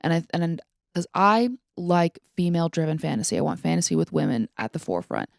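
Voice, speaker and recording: A woman's voice: lowish voice, sing-song, fast, vocal fry, dry recording, clean studio sound. A young woman co-hosting a podcast, chatting casually and giving opinions in very informal speech sprinkled with "like" and mild swearing. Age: young adult. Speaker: podcast co-host.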